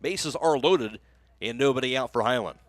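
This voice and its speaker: A man's voice: medium pitch, normal speed, no room sound. An American man calling a baseball game live as a radio play-by-play announcer, in clipped phrases that follow the action.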